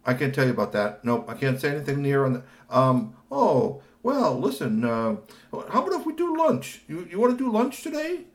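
Man doing a raised, lively, dodging buddy voice for a told story. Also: fairly high pitch, normal speed, pitched above the performer's own voice. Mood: evasive. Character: evasive, pushy male friend.

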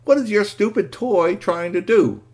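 A man's voice: high-pitched, sing-song, fairly quick, pitched above the performer's own voice. A man voicing competitive ten-year-old boys in an oral children's story, with a scornful, exclamatory manner. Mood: impatient.

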